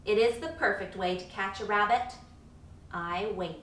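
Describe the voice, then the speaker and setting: A woman voicing a boastful, hungry little owl in a picture book, with theatrical, pompous, drawn-out emphasis. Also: medium pitch, fairly bright tone, lightly acted. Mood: grandly menacing. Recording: roomy, distant mic, faint background noise.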